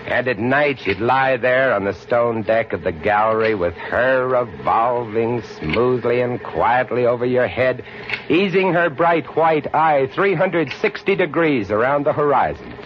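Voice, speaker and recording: A man's voice: mid-range voice, lively intonation, a little slow, slight background noise, lightly acted. An actor playing a lighthouse keeper who tells his tale in an intimate, colloquial, dramatic old radio drama style. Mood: wistful, wry. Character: Jean, young lighthouse keeper recounting a tense tale. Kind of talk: 1950s radio drama narration, intimate and vivid. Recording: dull old radio recording, music behind.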